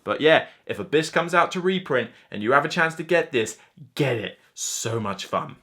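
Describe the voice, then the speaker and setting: Man in his thirties, mid-pitched voice, enthusiastic, chatty, casual reviewer delivery. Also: animated, normal speed, bright, forceful tone. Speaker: board game reviewer. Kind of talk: casual countdown review to camera. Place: indoor room lined with board game shelves.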